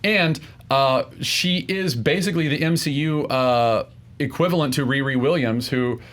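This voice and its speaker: A man's voice: mid-range voice, moderate pace, a bit bright. A man making a casual argument as a debate show contestant, explaining his reasoning somewhat hesitantly.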